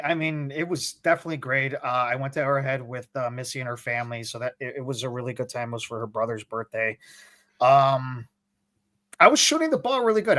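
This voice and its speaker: A man co-hosting a casual sports podcast, talking in a halting way with frequent restarts. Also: medium pitch, fairly bright tone, dry recording, clean studio sound. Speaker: podcast co-host.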